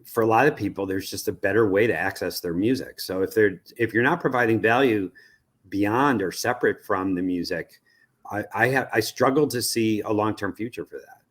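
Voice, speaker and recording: A man with an American accent and a fairly low voice answers as an expert guest in an interview. He lays out his reasoning step by step in a conversational, explanatory way. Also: moderate pace, fairly bright tone. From United States. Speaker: media research executive, interview guest.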